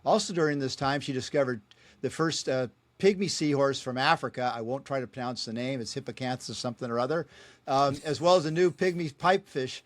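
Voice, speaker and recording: A man's voice: medium pitch, fairly quick, no echo. A man hosting a podcast, reading his guest's introduction and joking about names he can't pronounce. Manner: friendly, chuckles at his own asides. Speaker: podcast host. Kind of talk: reading a guest introduction, then casual interview.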